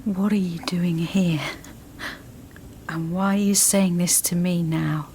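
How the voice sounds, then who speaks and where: A woman's voice: fairly low pitch, fairly even intonation, takes it slowly, a little mellow, a little hiss or hum. A woman acting in a radio drama as a wounded, resentful academic and spurned lover, speaking in a bitter, articulate, emotionally charged way. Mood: guarded.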